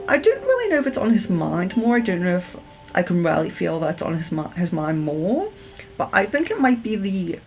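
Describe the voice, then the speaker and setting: Woman tarot reader, low and gravelly, hesitant live reading, faint music underneath. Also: poor audio. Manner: hesitant, thinking aloud while reading cards. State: sniffly.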